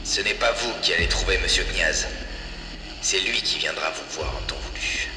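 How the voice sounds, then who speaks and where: Guy acting boastful chummy militia recruiter, lowish, super echoey, music behind, audio drama. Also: moderate pace, close to an everyday voice. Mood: ingratiating. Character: chatty, boastful male nationalist militia recruiter. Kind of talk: French audio fiction acting. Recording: long echoing reverb, music underneath.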